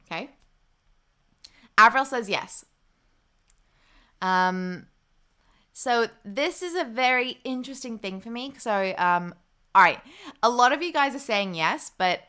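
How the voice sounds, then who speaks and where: A young woman hosting a live stream, chatting loosely with her viewers. Her voice is bright and crisp, and she fills her pauses with easy ums while she reads out comments. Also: mid-range voice. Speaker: livestream host. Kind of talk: casual livestream chat.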